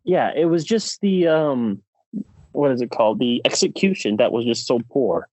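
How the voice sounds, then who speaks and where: A man's voice: mid-range voice, lively intonation, normal speed, a little mellow, no room sound, low-quality recording. Opinionated man in casual podcast talk, dry sound. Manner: opinionated, emphatic. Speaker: podcast co-host. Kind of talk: casual film discussion.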